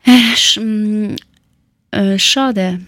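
A young woman with a Dari Persian accent speaks German slowly and haltingly, stopping often to search for words and correct herself. Her voice sits at a middling pitch, and the recording is dry and close. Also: steady tone, a bit bright, no room sound. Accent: Afghan Persian (Dari). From Afghanistan, Herat. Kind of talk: casual personal account.

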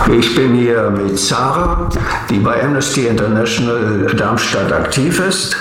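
A man hosting an interview, reading a measured introduction before asking his guest a question. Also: mid-range voice, a bit bright. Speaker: interview host. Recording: very echoey room.

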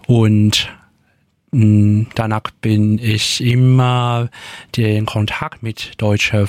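A young man with a Taiwanese accent and a fairly low voice talks slowly and haltingly in German, often stopping to search for the right words. The recording is dry and close, with no room sound. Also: dry recording. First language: Taiwanese Hokkien and Mandarin. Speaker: interview guest. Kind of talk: radio interview.

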